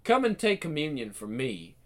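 A woman's voice: low-pitched, sing-song, moderate pace. A cold, domineering villainess who speaks with icy authority. Her tone is mocking and commanding throughout. It plays as a polished radio-drama villain.

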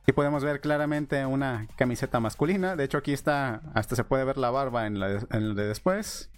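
A man's voice: medium pitch, fairly quick, no room sound, faint music behind. A man with a Mexican accent reading a post aloud and commenting on it in a casual, sarcastic way. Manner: sarcastic, laughs at what he reads.

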